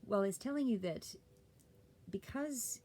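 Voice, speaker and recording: A woman doing a casual, rambling tarot reading, a little hoarse and clearing her throat, with many fillers and restarts. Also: mid-range voice, lively intonation, measured pace, mellow, slight background noise. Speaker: tarot reader.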